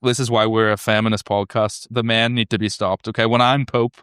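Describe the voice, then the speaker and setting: A man's voice: on the low side, little pitch movement, brisk, fairly bright tone, clear, resonant tone, dry recording, studio quality. A young British man co-hosting a comedy podcast, joking around and laughing often. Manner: joking, laughs often, slips into silly voices. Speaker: podcast co-host. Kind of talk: casual comedic chat.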